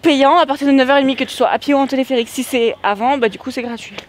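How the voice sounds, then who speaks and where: A woman's voice: sits high, very fast. A woman chatting casually while walking outdoors on a trail, filming a travel vlog. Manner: chatty and upbeat. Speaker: travel vlogger. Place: outdoors on a nature reserve trail.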